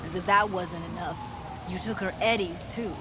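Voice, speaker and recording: Woman acting as a low-voiced, hotheaded street-tough woman. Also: moderate pace, noisy background, lightly acted. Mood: angry. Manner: blunt, slangy, sweary. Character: hotheaded, street-tough young woman, cynical but loyal. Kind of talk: video game cutscene acting, naturalistic dialogue.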